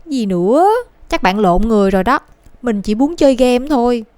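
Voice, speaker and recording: A woman's voice: a little high, expressive, normal speed, darkish tone, dry recording, close to an everyday voice. A woman voicing a whiny, skeptical, game-obsessed schoolboy in a cartoon, grumbling and exclaiming in a southern Vietnamese accent. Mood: incredulous. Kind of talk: animated cartoon dialogue. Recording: music clearly behind the voice.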